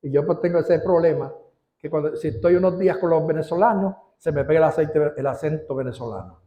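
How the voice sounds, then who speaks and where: A man preaching a sermon as pastor, stretching out key words and rising in pitch for emphasis. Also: brisk, reverberant, lo-fi sound. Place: church.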